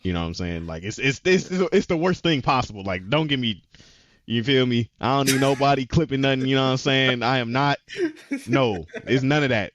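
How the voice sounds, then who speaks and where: A man's voice: medium pitch, a little fast, fairly bright tone, no echo, dull audio. A man co-hosting a casual talk show, retelling scenes animatedly and acting out the characters' lines. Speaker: podcast co-host. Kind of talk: casual chat recapping anime episodes.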